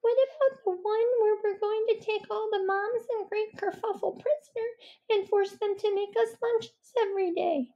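A woman voicing a nervous, squeaky little male badger henchman in a storybook reading, blurting out his lines in a high, fretful voice. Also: fairly even intonation, moderate pace, dark tone, muffled recording. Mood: eager.

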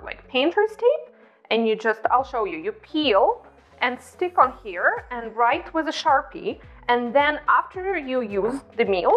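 A woman's voice: fairly high pitch, lo-fi sound. A woman, hosting a cooking tutorial in her home kitchen, chatty and bubbly on a lapel mic. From Ukraine. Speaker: cooking channel host. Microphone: clip-on lapel mic.

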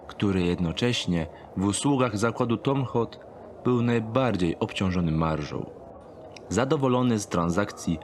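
A man reading scripted third-person narration for a dark thriller audiobook. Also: on the low side, a little hiss or hum.

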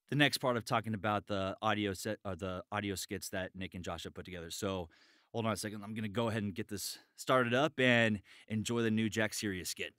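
Male podcast host, lowish pitch, casual chat, dry close sound. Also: fairly bright tone, clean tone, no echo.

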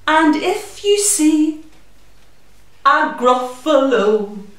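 Middle aged lady doing kids story time, high sing-song voice, really echoey and a bit far from the mic. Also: drawn-out words. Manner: animated, sing-song, addressing small children. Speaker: children's story-time host. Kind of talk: children's story time with puppet chat and songs. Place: indoors, small room in a community library. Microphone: camera a few metres away. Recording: very echoey room, distant microphone.

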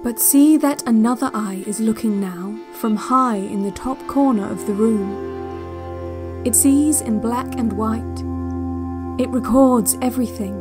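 An actress playing a calm, eerily watchful guide on a relaxation cassette, speaking in measured, soothing, deliberate instructions. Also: female voice, a little high, over music. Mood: quietly ominous. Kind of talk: slow hypnotic relaxation-tape narration in a fiction podcast.

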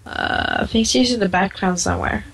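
A woman's voice: mid-range voice, normal speed, creaky, background noise. Tipsy woman teasing her gaming friends. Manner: teasing, playful. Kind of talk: casual gaming chat.

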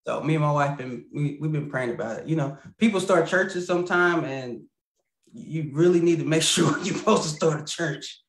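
A man in his thirties speaks reflectively about his church and marriage, pausing with frequent ums. He is on a video call from a small room at home that sounds a little roomy. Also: fairly high pitch. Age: 30s. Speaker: assistant pastor. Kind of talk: casual interview chat.

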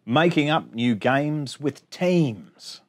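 A man in his forties hosting storytime, reading a picture book aloud to children in an expressive sing-song way with chatty asides. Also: fairly high pitch, slow. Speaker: storytime host. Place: small indoor space among bookshelves.

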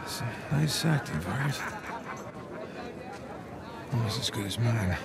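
An actor playing a wry, stubborn young American treasure hunter in a cinematic game. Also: male voice, mid-range voice, expressive, takes it slowly, creaky, over a noisy crowd. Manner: wry, sardonic.

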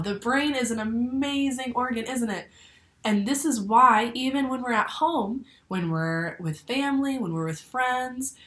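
A young adult woman reading a picture book aloud to children in a deliberate, instructive way. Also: on the high side, a bit bright. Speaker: teacher or librarian reading to children. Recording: echoey room.

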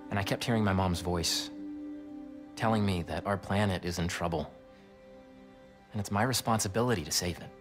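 Actor as a low-voiced, earnest young American man. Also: moderate pace, pauses often, music in the background, lightly acted. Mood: earnest, hopeful. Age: young adult. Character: friendly, earnest, idealistic young heir and loyal friend. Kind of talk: naturalistic game cutscene acting.

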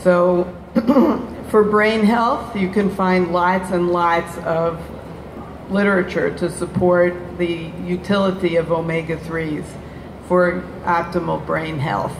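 Slow-speaking woman in an echoey hall. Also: lowish voice, fairly even intonation. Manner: measured, technical, with fillers. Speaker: panelist answering audience question. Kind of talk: careful explanatory panel answer. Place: large convention hall stage. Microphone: handheld mic. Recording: echoey convention hall with noise.

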